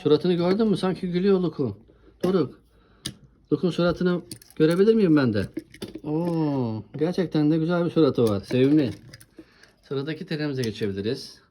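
A woman with a very deep, mellow voice presents toys in a casual chat. She is encouraging and often puts questions to her young co-host. There is faint noise behind her. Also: expressive, normal speed. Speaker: host presenting toy trains with a child. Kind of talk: casual toy showcase chat.

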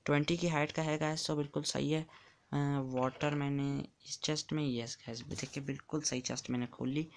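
A man's voice: a little high, level tone, moderate pace. A man giving casual commentary while playing a block-building game, chatty and counting blocks aloud as he builds. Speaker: gamer.

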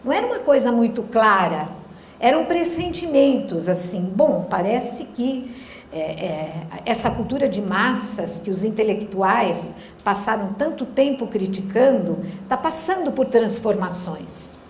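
A woman's voice: on the high side, big pitch swings, unhurried, slight background noise. Brazilian woman lecturing students, bouncy up-and-down voice, stops and restarts a lot, echoey hall. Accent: Brazilian Portuguese. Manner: thoughtful, hesitant restarts, rhetorical questions to the audience. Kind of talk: university lecture.

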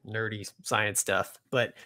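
A man's voice: lowish voice, flat delivery, takes it slowly, pushed and bright. An American man in his forties chatting casually and informally as a podcast co-host. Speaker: podcast co-host, military pilot. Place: small home studio room. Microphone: close studio microphone with headphones.

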